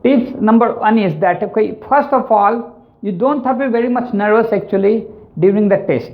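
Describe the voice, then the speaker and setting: A man's voice: sits high, normal speed. A man with an Indian English accent, teaching exam tips and often tagging lines with "right?" and "actually". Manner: repetitive, keeps adding "right?" and "actually". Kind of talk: spoken lesson on exam tips. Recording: tinny, phone-like sound.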